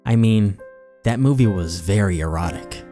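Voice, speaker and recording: A man voicing excitable young women gossiping over a crush, in a melodramatic, emphatic way. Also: lowish voice, moderate pace, soft background music, lightly acted. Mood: excited, anxious.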